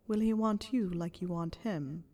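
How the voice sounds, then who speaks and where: A woman voicing a scolding inner voice of doubt in an audiobook, hushed and needling. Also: fairly low pitch, unhurried.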